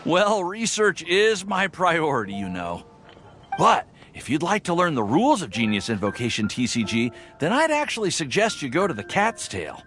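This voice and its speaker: Young nerdy alchemist in a game, lightish pitch, lively, earnest, faint music. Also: male voice, normal speed. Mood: helpful, friendly. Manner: earnest, hesitant, friendly. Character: earnest young male alchemist researcher, eager, slightly flustered. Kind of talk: video game character acting.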